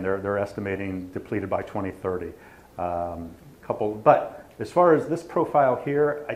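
A man with a fairly low voice gives an informal technical talk. He speaks haltingly, feeling his way through the points in a self-deprecating manner. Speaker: company presenter. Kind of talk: informal technical slide presentation.

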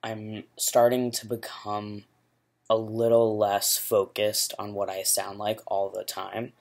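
A young man with a low, rumbly, gravelly voice chats into his laptop webcam in a small bedroom. His pitch stays flat and even, and he talks in a relaxed, rambling, candid way. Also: slow pace. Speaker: vlogger. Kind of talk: casual video diary.

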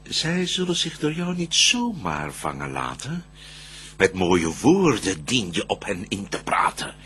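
An actor playing a shrewd, wary devil in a Dutch verse radio drama, declaiming intensely in a raspy voice. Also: male voice, fairly low pitch, wildly swooping pitch, a little slow, sharp tone, slight background noise, heavily acted. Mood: conspiratorial. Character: shrewd, wary male devil, conspiring accomplice in temptation.

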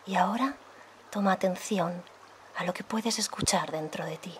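Low-voiced woman guiding a meditation. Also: a little hiss or hum. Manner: soft, soothing, unhurried delivery with pauses. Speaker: meditation and yoga guide. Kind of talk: guided meditation, slow calm instruction.

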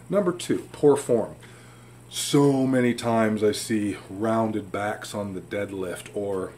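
Low-voiced man, noisy recording. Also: darkish tone. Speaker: fitness vlogger giving training advice. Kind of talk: informal instructional talk to camera. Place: indoor home room with fish tanks. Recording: clear room hum and background noise.

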